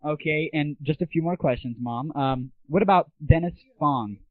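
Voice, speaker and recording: A young man with a fairly high-pitched voice hosts a casual gaming show. His interviewing is informal and conversational. The sound is thin and phone-like, with very little room echo, like 1990s internet audio. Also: moderate pace.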